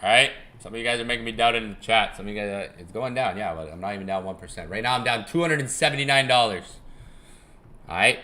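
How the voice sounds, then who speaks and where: A man's voice: fairly low pitch, fast, pushed and bright. A young man hosting a casual live stream, rambling, chuckling and swearing casually as he repeats himself. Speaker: live-stream trading host.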